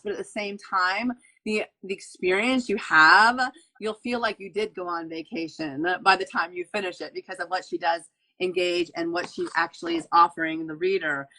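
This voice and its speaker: A woman's voice: fairly low pitch, normal speed, pushed and bright, rough recording. A woman hosting an author interview, gushing and effusive, often repeating her phrases. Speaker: podcast host. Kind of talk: enthusiastic interview wrap-up.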